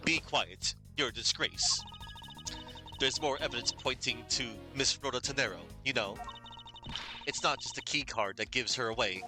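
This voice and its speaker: Scornful proud young lady prosecutor, read by a guy in his own voice, sharp and cutting. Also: mid-range voice, normal speed, music in the background, close to an everyday voice. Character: stern young female prosecutor, haughty and sharp-tongued. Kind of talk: reading game dialogue aloud.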